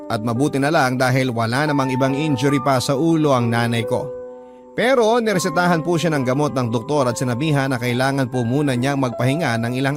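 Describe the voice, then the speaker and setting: A man's voice: mid-range voice, lightly acted. An actor playing a remorseful grown son, earnestly and respectfully narrating his confession in a Filipino radio drama. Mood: subdued, regretful. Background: clear background music.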